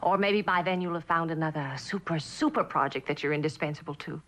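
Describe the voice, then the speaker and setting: An actress playing a lonely, neglected wife in a mid-century television drama, plaintive and halting, with a bitter edge. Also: female voice, low voice, fairly bright tone. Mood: bitter, sarcastic.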